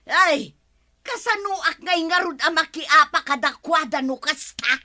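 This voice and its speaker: A woman playing a quarrelsome, hot-tempered village woman with an Ilocano accent in a broadcast comedy drama, given to scolding and drawn-out exclamations. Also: high voice, expressive, pushed and bright, full character voice. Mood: sulky, subdued.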